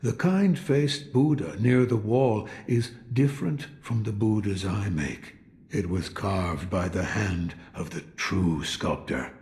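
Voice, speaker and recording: An actor playing a weary, brooding old sculptor of Buddhas in a video game, speaking in a deliberate, cryptic way. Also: male voice, on the low side, darkish tone. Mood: grave, brooding. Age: older. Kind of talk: scripted video game dialogue.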